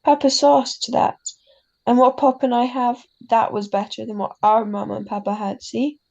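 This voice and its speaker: A woman playing an ailing, gentle, wise mother in a radio drama, speaking tenderly and haltingly. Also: fairly high pitch, level tone, gravelly, muffled recording. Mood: gently reflective. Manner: tender, halting, philosophical, tags sentences with huh. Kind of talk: classic radio drama acting.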